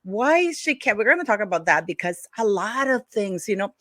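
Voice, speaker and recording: A woman's voice: medium pitch, big pitch swings, brisk, no echo, studio quality. A woman from Norway, hosting an interview in an eager, gushing, chatty way with many restarts. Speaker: host, interviewer.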